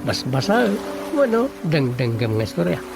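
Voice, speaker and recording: An actor with an Ilocano Filipino accent playing an easygoing, persuasive husband in a comedy radio drama, chatty and coaxing. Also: male voice, medium pitch, big pitch swings, moderate pace, gravelly, music in the background. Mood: coaxing, playful.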